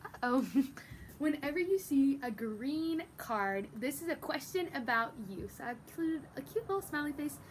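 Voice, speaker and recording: High-voiced woman teaching through a read-aloud. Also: a little hiss or hum. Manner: animated, exaggerated, prompting listeners with questions and praise. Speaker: teacher reading a picture book aloud to young pupils. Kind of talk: interactive read-aloud lesson for children.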